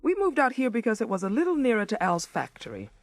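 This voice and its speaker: An actress in a 1980s radio drama playing a wistful, neglected wife with a wry, expressive manner. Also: female voice, on the high side, quick pace, no room sound, studio quality. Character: Carol, neglected wife and former set designer, wistful, bitter. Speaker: actress narrating and playing the lead.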